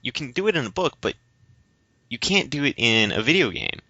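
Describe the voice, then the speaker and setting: A man co-hosting a casual discussion podcast, explaining things in a halting, rambling way. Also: medium pitch, big pitch swings, pushed and bright, no echo. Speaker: podcast co-host. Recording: dull, lo-fi voice recording.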